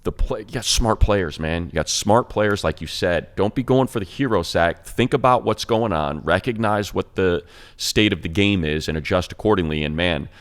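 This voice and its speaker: Brief: male announcer, extremely low voice, emphatic talk-show delivery. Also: moderate pace. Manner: emphatic, builds points with "man" and "look". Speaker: radio play-by-play announcer. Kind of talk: casual sports chat.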